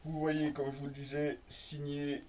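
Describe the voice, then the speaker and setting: Guy doing an unboxing, bit far from the mic, soft mellow tone, lots of euh pauses. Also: fairly high pitch, completely flat delivery, slight background noise. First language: French. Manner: hesitant, piecemeal phrasing with frequent drawn-out euhs. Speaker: motorcycle gear reviewer. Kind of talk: unboxing presentation, casual unscripted commentary. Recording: slightly distant microphone.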